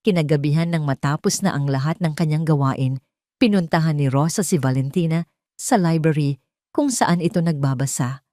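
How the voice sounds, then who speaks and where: A woman's voice: low voice, moderate pace, dark tone, dry recording, studio quality. A Filipino woman reading a scripted dramatic story as its narrator.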